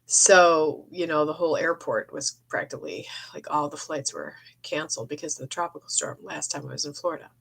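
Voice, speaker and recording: Woman with a cold, middle-aged, lowish voice, rambling live-stream chat, throat-clearing. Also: brisk, few pauses, a bit bright, low-quality recording. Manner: meandering, breaks off, clears her throat often. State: has a cold, losing her voice. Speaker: psychic medium streaming live. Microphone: phone or webcam for a live stream.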